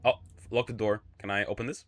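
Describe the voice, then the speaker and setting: Chatty streamer, low voice, music behind. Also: male voice, fairly even intonation, quick pace. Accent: mixed British and American. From Poland. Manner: chatty, rambling, thinks out loud. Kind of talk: casual gaming livestream chat.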